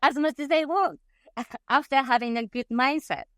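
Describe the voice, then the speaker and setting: A woman's voice: high voice, moderate pace, no room sound, studio quality. A woman in her fifties from Japan with a Japanese accent, a passionate interview guest who often laughs and corrects herself mid-sentence. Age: 50s. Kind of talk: conversational interview.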